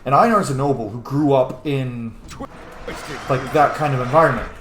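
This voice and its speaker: A man's voice: medium pitch, moderate pace, fairly bright tone, reverberant, slight background noise. A man giving casual, sweary gameplay commentary, talking to the characters as he plays a role-playing game. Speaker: gaming video host playing a role-playing game.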